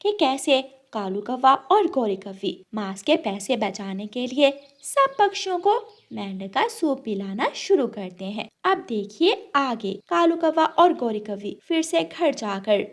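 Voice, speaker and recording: Female narrator for children's tales; high-pitched, very lively scripted delivery. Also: measured pace, hardly any pauses. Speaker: storyteller.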